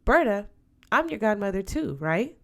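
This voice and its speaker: A woman's voice: mid-range voice, big pitch swings, moderate pace, creaky, fairly bright tone. A woman voicing an older godmother aunt in an audiobook, firm, affectionate and dutiful, counselling emphatically. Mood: earnest, urging. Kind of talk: audiobook character voice.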